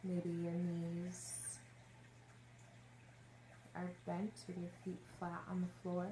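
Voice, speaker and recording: Low, even-voiced woman guiding meditation. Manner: gentle, unhurried instruction with long pauses. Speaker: yoga nidra meditation teacher. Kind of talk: guided meditation. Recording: roomy echo, faint background noise.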